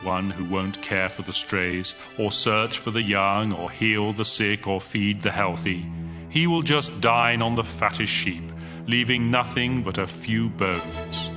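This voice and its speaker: An actor playing a stern God in a scripture audio drama, speaking in a solemn, deliberate, commanding manner. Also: male voice, on the low side, music in the background, lightly acted. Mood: grave, commanding.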